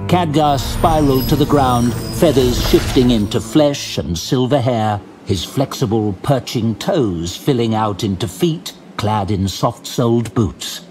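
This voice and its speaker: A man giving scripted fantasy audio drama narration, measured and dramatic, recorded as a studio voice-over. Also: medium pitch. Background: faint music.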